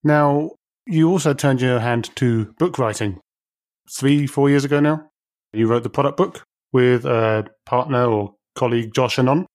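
A man's voice: mid-range voice, a little slow, no room sound, clean studio sound. A man hosting an interview, asking long conversational questions with light jokes and fillers. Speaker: podcast host.

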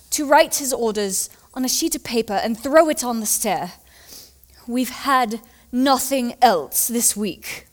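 Woman playing a high-voiced, anxious Victorian housekeeper. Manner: deferential, formal, urgent. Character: anxious, loyal Victorian housekeeper, thirty years in service. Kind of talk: dramatic audio play acting.

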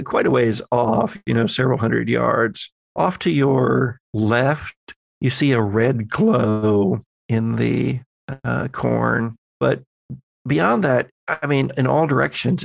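A man working as game master, narrating a tabletop horror game in halting, suspenseful descriptions. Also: mid-range voice, gravelly, no echo.

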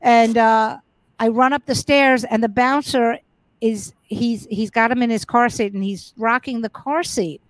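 A middle-aged woman comedian with a fairly high voice tells her stories frankly and with plenty of swearing. She trips over her words and restarts them as she goes. The sound is close and dry. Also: steady tone, a little slow, no echo, low-quality recording. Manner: frank, profane, many restarts. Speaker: comedian guest. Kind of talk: casual interview storytelling.

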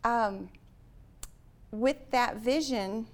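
A woman's voice: a little high, very slow. Chatty woman interview host. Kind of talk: casual interview conversation.

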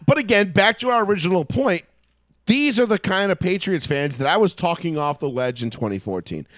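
A man's voice: sits high, lively intonation, a little fast, fairly bright tone, no room sound, clean studio sound. A man in his thirties with an American accent chatting about sports and telling stories in a profane, opinionated, emphatic way. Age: 30s. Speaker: sports talk show host. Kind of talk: casual sports chat and storytelling.

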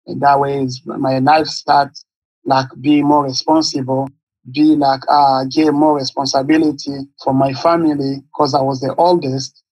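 A man in his thirties from Congo, a guest in an interview, telling his life story in halting with pauses as he searches for words. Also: medium pitch, flat delivery, a little mellow, slightly rough, no echo, dull audio. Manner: halting, searching for words, non-native English phrasing. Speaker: guest, nonprofit founder.

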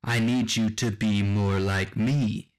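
A man playing a cold, condescending machine in a radio drama, speaking deliberately and with an air of superiority. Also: on the low side, fairly even intonation. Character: cold, superior music-making machine with ambitions of control.

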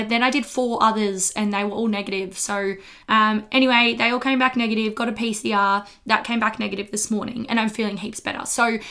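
A young Australian woman with a slightly husky voice after an illness, talking frankly and chattily as a podcast host and health teacher. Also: mid-range voice, bright, forceful tone. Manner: chatty, frank, laughs between points. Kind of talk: casual educational monologue.

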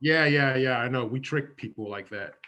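A woman's voice: a bass voice, moderate pace, fairly bright tone. A woman improvising a comedy sketch as an awkward, exasperated shop clerk chasing payment, hesitant and stumbling.

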